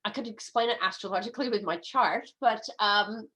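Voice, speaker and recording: A woman in her fifties with an American accent and a nasal, slightly stuffed-up voice from a lingering head cold. She talks as a guest in a relaxed interview, chatty and eager. She often trips over her words and starts her sentences again. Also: medium pitch, brisk. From United States. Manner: chatty, enthusiastic, restarts often. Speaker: guest, medical astrologer. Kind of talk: casual podcast interview.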